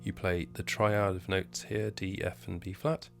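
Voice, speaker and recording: A hoarse man hosting a casual piano tutorial at home, talking in a relaxed, halting way and counting beats aloud. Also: sits low. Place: at home, indoors. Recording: piano playing behind the voice.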